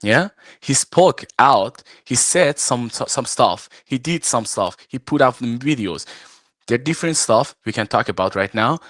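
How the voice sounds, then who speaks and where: A man from Iran whose first language is Farsi, talking casually and haltingly on a video call, full of fillers and restarts. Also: medium pitch, expressive, fairly bright tone, no echo, clean studio sound. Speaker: guest, arm wrestler and referee. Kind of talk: casual live-stream chat. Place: at home, arm wrestling table behind.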